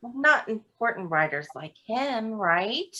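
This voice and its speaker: A woman acting in a staged play reading as a confrontational, sarcastic woman who defends her friend with pointed, deliberate words. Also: a little high, very animated, bright, forceful tone, heavily acted.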